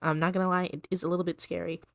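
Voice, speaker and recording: A young woman talks casually over her drawing in a fairly monotone, low-set voice. She is soft-spoken and rambles, with many fillers and restarts. The sound is dry and close, with no room around it. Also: racing, creaky, dry recording. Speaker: art vlogger. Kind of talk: casual chat while drawing.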